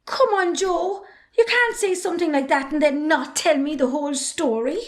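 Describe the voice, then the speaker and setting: Woman acting a high-voiced, cheeky young Irish daughter. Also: moderate pace, lightly acted. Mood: prying, concerned. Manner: sardonic, outspoken. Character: defiant, cheeky young adult farmer's daughter. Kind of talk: amateur drama dialogue.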